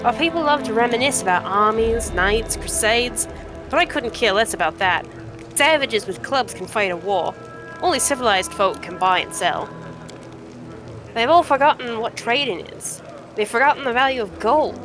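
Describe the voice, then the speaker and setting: A woman's voice: fairly high pitch, lively intonation, moderate pace, music in the background, pitched above the performer's own voice, close to an everyday voice. A woman voicing a brash, scornful old cave-dwelling trader woman in a fantasy game, with blunt, rustic haggling. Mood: indignant, proud.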